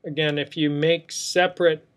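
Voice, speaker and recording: A man's voice: a little high, flat delivery, normal speed, fairly bright tone, clear, resonant tone. A man narrating an unscripted screen tutorial as an instructor, explaining things step by step. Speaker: software instructor. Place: indoors, screen recording voice-over.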